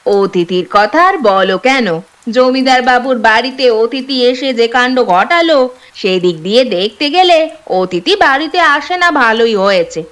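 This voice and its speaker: A woman's voice: fairly high pitch, animated, normal speed, a bit bright, clean tone. A woman voicing a hospitable, chatty village auntie in a cartoon. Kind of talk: Bengali cartoon story voice acting.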